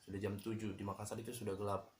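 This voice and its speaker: Casual man with halting delivery. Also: fairly low pitch, slight background noise. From Medan, Indonesia. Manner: relaxed, halting, many fillers and restarts. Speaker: YouTuber. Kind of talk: casual tips vlog.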